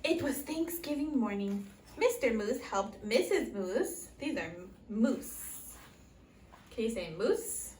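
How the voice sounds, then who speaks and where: High, lively woman teaching preschoolers, echoey room. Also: slow pace. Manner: simple short phrases with repeated prompts and questions. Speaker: English teacher of young children. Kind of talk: interactive preschool read-aloud lesson. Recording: echoey room, distant mic, faint noise.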